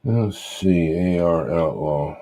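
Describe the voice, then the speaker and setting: A man's voice: sits low, slow, warm tone. A man hosting a casual, rambling livestream, muttering to himself in a folksy way as he talks. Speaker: livestream host showing vintage radios.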